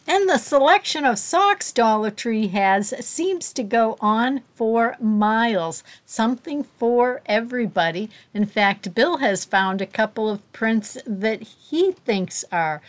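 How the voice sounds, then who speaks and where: A woman narrating a shop-with-me vlog inside a store, filming on a handheld phone and gushing over the items she finds. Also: medium pitch. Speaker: shopping vlogger. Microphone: handheld phone camera.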